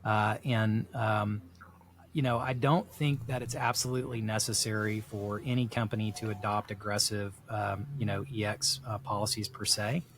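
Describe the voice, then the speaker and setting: Low-voiced man, rambling. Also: flat delivery, normal speed, slight background noise. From South Texas. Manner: rambling and reflective, heavy on "you know" fillers. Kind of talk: casual podcast discussion.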